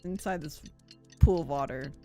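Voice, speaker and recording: A woman's voice: deep voice, normal speed, mellow, faint music behind. A woman game streamer giving casual, playful, slangy and giggly commentary on her playthrough.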